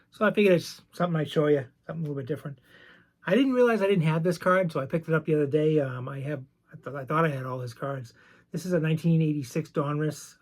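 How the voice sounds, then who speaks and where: A man with a rough, gravelly voice sits somewhat above the usual male pitch. He chats his way through his baseball cards in a relaxed, rambling manner and drifts into side stories as he goes. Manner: easygoing, chatty, wanders off on side stories. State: voice roughened by medication. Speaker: vintage card collector and channel host. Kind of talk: casual show-and-tell about baseball cards.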